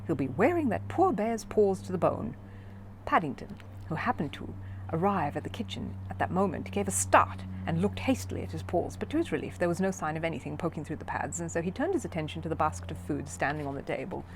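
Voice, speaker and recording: A woman reading a children's story aloud in an expressive narrating style. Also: sits low, quick pace, background noise. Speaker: audiobook reader of a children's book.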